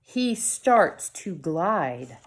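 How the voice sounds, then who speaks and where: A woman reading a storybook aloud to children, expressively, with deliberate dramatic pauses and short asides to her viewers. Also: on the low side, big pitch swings, slightly rough.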